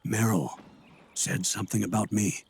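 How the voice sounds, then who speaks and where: A husky older army colonel. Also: male voice, lowish voice, fairly even intonation, darkish tone, dry recording, full character voice. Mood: awkward, subdued. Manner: measured, explanatory, defensive. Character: older male colonel, measured, fatherly military mentor. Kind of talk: cinematic video game voice acting.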